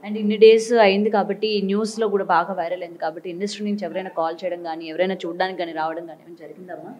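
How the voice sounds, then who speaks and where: A woman's voice: fairly low pitch, flat delivery, racing, muffled recording. A female TV reporter interviewing by phone, asking probing questions.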